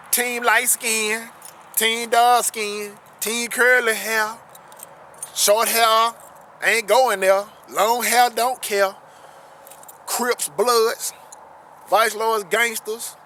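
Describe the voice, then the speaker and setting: A man reading a text aloud on a riverbank and commenting on it, earnestly repeating key phrases for emphasis. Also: sits high. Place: outdoors on a riverbank. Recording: loud outdoor noise with passing traffic.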